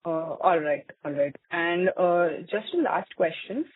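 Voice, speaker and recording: A woman's voice: lowish voice, measured pace, husky, no room sound. Polite woman analyst, tinny phone line. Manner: polite, deferential. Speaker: investment analyst asking questions. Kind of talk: formal question on conference call.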